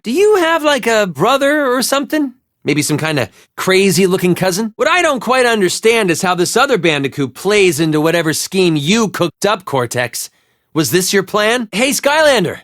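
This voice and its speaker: Young eager video game buddy, high lively voice, rambly and chatty. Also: male voice, dry recording, clean studio sound. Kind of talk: video game character acting.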